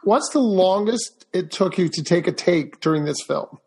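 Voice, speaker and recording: Interviewer, husky tone, plain direct questions. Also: medium pitch, expressive, moderate pace, a little mellow.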